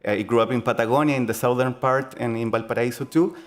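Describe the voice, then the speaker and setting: A young man with a Chilean accent presents his game design research at a conference. He speaks earnestly and haltingly, with frequent fillers and self-corrections, at a middling pitch. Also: fairly even intonation.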